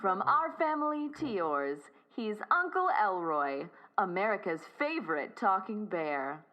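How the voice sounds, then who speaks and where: Woman playing cheery sponsor announcer, vintage radio commercial, animated intonation, polished selling, faint music. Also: unhurried. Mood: upbeat, selling. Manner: polished sales pitch. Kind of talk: old-time radio sponsor ad.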